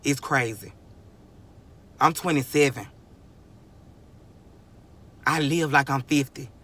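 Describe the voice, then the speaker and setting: Bright-voiced young man rambling. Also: medium pitch, takes it slowly. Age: 20s. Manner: rambling, emphatic, chuckling, restarts sentences. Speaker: vlogger. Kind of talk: rambling motivational monologue.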